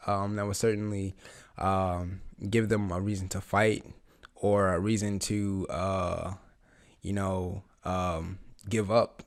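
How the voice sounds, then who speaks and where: A man with a raspy voice, just getting over the flu, talking through a TV episode in a rambling, eager way with lots of fillers. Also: on the low side. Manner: rambling, many fillers and restarts, eager fan. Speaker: reaction channel host. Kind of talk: casual reaction commentary.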